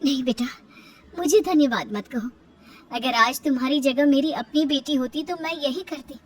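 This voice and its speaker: A woman's voice: sits high, moderate pace, recorded from a distance, natural voice. A woman voicing a kind, protective, principled village mother in a moral-story cartoon. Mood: tender.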